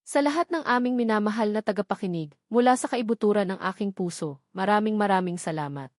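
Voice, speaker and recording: A woman's voice: mid-range voice, a little fast, dry recording, clean studio sound. A woman narrating a romantic drama story from a script in an earnest, sentimental manner. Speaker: narrator of love story channel.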